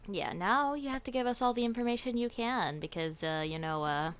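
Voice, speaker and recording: A woman's voice: fairly high pitch, brisk, clean tone. A woman gaming streamer giving casual reaction commentary as she plays. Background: game music.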